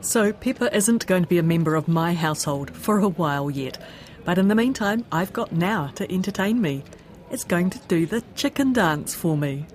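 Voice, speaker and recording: A woman's voice: fairly low pitch, animated, moderate pace, a little hiss or hum. A woman with a New Zealand accent, a radio presenter interviewing and narrating.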